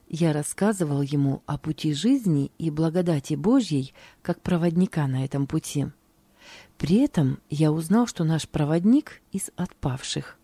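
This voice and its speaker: A woman calmly reading aloud from a religious diary. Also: sits low, dry recording. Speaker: narrator.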